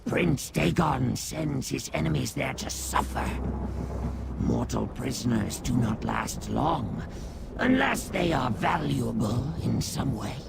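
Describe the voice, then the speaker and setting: An actor voicing a servile, sly demonic servant in a fantasy game, with a gravelly, theatrical delivery. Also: male voice, medium pitch, animated, heavy background noise, heavily acted. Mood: sly, menacing. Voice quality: creaky, gravelly. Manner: slow, theatrical, swooping delivery. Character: servile, creepy male Daedric servant, slyly deferential to a master. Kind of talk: fantasy video game acting. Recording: loud music behind the voice.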